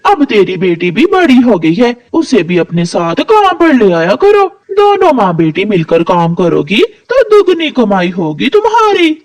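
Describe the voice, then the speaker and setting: Nosy cartoon lady crow, played by a woman, taunting and mocking, pitch leaps around. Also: sing-song, unhurried, few pauses, a little mellow. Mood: nosy, pushy. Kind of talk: cartoon moral story voice acting.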